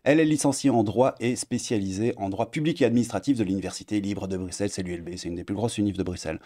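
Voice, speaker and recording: Sarcastic Belgian man ranting at his desk. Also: fast talker. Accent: Belgian French. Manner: sarcastic, animated, swings pitch up for mock emphasis. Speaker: YouTuber commentator. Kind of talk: casual political commentary vlog. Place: home room at a desk.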